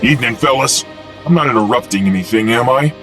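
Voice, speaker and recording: A man's voice: medium pitch, fast, a bit bright, soft background music, lightly acted. A man playing a cocky, menacing young superhero vigilante in an audio drama, taunting and threatening.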